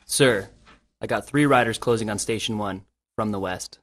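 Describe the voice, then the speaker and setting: A man's voice: fairly low pitch, moderate pace, fairly bright tone. A man playing a brash soldier on a covert team in a radio drama, blunt and slangy. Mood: alert, brash.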